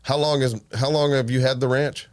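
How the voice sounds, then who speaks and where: A man hosting a podcast interview, easygoing and quick with enthusiastic reactions. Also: mid-range voice, fairly even intonation, smooth. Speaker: podcast host. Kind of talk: casual interview.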